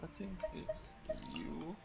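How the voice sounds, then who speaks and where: A young man giving casual livestream game commentary, rambling and slangy as he thinks aloud. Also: slow pace. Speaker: game streamer. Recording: noisy livestream mic.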